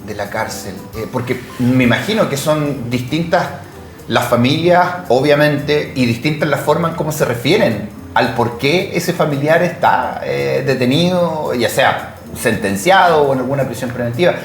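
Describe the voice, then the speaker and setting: A man's voice: medium pitch, normal speed. A man with a Chilean accent co-hosting a television interview, commenting and asking questions conversationally. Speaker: TV show co-host. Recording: roomy echo, music clearly behind. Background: background music.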